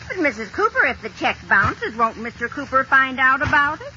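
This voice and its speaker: An actress playing a wry, knowing housemaid in a 1950s radio comedy. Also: female voice, high-pitched, sing-song, normal speed. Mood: doubtful. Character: wry, slow-talking loyal housemaid in radio sitcom. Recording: very noisy, muffled old radio recording.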